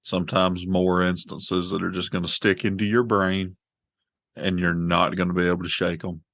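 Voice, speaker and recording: A man's voice: low voice, little pitch movement, normal speed, creaky, fairly bright tone, no echo. A man hosting a podcast in casual conversation, plainspoken and earnest, speaking openly about faith. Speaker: podcast host.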